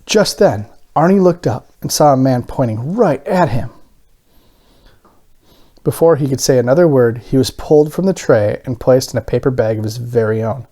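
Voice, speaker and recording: A man reads a children's picture book aloud. His voice is mellow and relaxed, with lively, varied intonation that carries the narration expressively. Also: medium pitch, normal speed.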